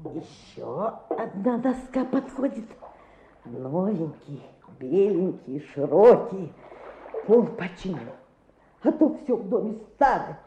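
Sly old villager, slow muttering to a dog; very muffled, echoey radio play. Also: female voice, medium pitch, big pitch swings, warm tone, a little hiss or hum. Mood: gleeful, greedy. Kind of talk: Soviet-style radio play acting.

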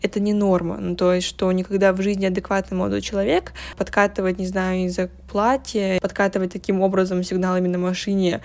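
A woman's voice: mid-range voice, level tone, quick pace, hardly any pauses, darkish tone. A young woman in a casual discussion, speaking earnestly and often appealing to her listener for understanding. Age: young adult. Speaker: political science student and blogger in a discussion. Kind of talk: casual chat.

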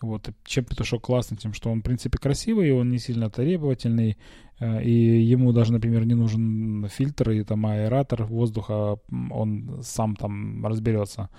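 A man hosting a personal podcast from a quiet bedroom, rambling casually and hesitantly through everyday stories, a little congested from a cold. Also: mid-range voice, steady tone, moderate pace, creaky, no echo, clean studio sound. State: recovering from a cold, slight cough. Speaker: podcast host. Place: small quiet bedroom, lying on a bed.

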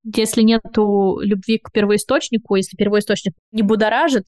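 A woman's voice: mid-range voice, fairly even intonation, a little fast, darkish tone, no echo, studio quality. A woman guest on a podcast, talking in a thoughtful, enthusiastic way, with fillers and light laughs. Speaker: podcast guest, bookshop owner. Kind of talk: casual interview chat.

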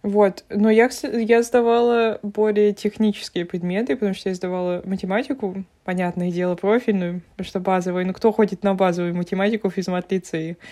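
A woman's voice: medium pitch, fairly even intonation, fairly quick, few pauses, warm tone. A woman in her twenties, co-hosting a podcast with wry, understated humor. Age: 20s. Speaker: podcast co-host. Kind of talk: casual podcast chat.

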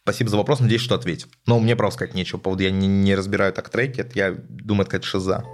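A man's voice: deep voice, flat delivery, very fast. A man answering listener questions on a podcast as a music critic, casual and rambling, with frequent hesitation sounds.

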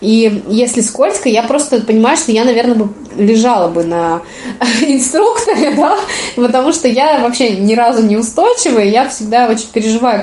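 Женщина-гостья с лёгкой хрипотцой после болезни непринуждённо и вдумчиво рассказывает о своём опыте, часто делая паузы. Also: female voice, a little high, a little mellow, slight background noise. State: slightly hoarse, recovering from illness. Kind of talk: casual personal storytelling. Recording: roomy, echoing sound.

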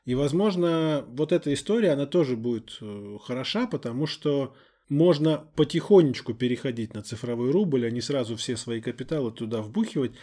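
A man's voice: mid-range voice, lively intonation, a little fast, warm tone. A man chatting casually as a podcast co-host, talking in little imagined scenes like a storyteller. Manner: storytelling, acts out imagined remarks. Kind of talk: casual conversational chat. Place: podcast recording studio. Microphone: close studio microphone.

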